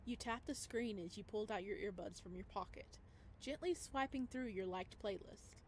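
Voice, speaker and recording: A woman's voice: medium pitch, moderate pace, a little hiss or hum. A woman reading visual novel narration aloud as a let's player, expressively, with chatty casual asides. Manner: expressive reader who breaks into chatty asides. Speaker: video game let's player. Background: background music.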